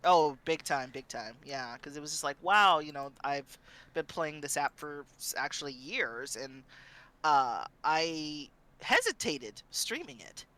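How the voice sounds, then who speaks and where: A woman's voice: deep voice, animated, unhurried, pushed and bright. A woman chatting casually as a guest streamer, often repeating herself as she starts her sentences. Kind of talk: casual panel chat.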